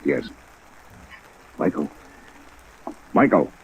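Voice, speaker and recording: A man acting a mild, kindly prison chaplain in a 1950s radio drama, speaking in a gentle, composed, sombre way. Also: drawn-out words, a little hiss or hum. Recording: muffled old radio recording, rain over it.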